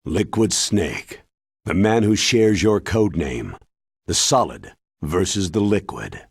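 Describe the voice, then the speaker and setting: A man playing a grave, authoritative military commander in a video game, briefing in a deliberate, emphatic way with a husky voice. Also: low voice, very animated, no echo, studio quality, full character voice. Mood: solemn, informative. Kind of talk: video game cutscene acting.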